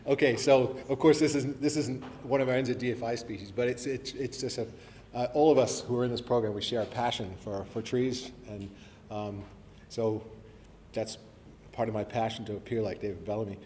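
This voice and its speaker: A man's voice: mid-range voice, fairly even intonation, fairly quick, darkish tone. Chatty man lecturing in a hall. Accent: mixed, not typically New Zealand. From New Zealand. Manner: chatty and self-deprecating, many ums and restarts. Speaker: forestry professor giving a talk. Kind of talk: live presentation to an audience. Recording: live hall recording with reverb and faint hiss.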